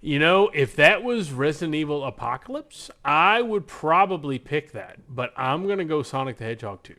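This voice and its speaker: A man's voice: on the high side, animated, moderate pace, bright, forceful tone. A man co-hosting a podcast, chatting casually in an informal, slangy, emphatic way. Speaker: podcast co-host. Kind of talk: casual chat, picking movies in a bracket game.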